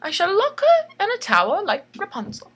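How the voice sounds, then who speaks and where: A woman's voice: extremely high pitch, huge pitch swings, normal speed, fairly bright tone, slight background noise, pitched above the performer's own voice, exaggerated voice. A woman voicing a petulant, pompous male tyrant king in a bedtime story, melodramatic, menacing and self-pitying. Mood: scheming.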